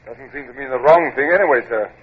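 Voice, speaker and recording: An actor playing a dutiful naval lieutenant in a radio drama, speaking in a brisk, formal, deferential way. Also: male voice, mid-range voice, lightly acted. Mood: dutiful, alert. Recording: muffled, noisy vintage radio recording.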